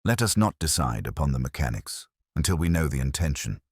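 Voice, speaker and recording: A man's voice: extremely low pitch, very animated, brisk, darkish tone, breathy edge, dry recording, full character voice. A man voicing a mild, patient, shrewd police inspector in a story, with dry and formal understatement. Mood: mildly wry.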